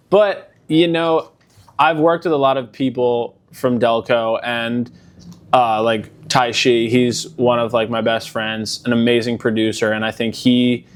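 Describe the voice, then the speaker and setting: A young man in a laid-back interview chat, voice sitting in an ordinary middle pitch. He rambles loosely, leaning on filler words as he thinks aloud. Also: slow pace, a little hiss or hum. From Delaware County, Pennsylvania. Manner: relaxed, rambling, many fillers like "like". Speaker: musician guest.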